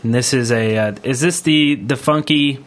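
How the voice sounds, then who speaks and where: A man with a mid-pitched voice co-hosts a gaming podcast. He talks casually and informally, rambling along as he goes. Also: normal speed. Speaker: podcast co-host.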